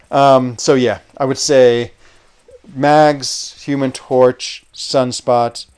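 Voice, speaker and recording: Smooth-voiced American man streaming casually. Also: medium pitch, a little mellow. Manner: rambling, chatty, hesitant with fillers. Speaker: gaming livestream host. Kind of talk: casual live-stream chat while playing.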